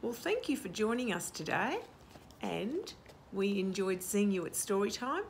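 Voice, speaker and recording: A woman in her sixties reads to small children outdoors, taking her time. Her delivery is sing-song and very varied in pitch, full of little questions. Faint outdoor noise sits behind her. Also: a little slow, creaky. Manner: animated, sing-song, asking the listener questions. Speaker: children's storytime host. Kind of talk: reading a picture book aloud to young children. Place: outdoor garden patio. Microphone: camera a short distance away.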